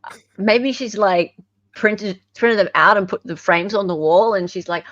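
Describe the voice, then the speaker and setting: A woman's voice: medium pitch, unhurried, fairly bright tone, dry recording, highs cut off. An Australian woman hosting a casual livestream in a chatty, affectionate way. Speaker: livestream host.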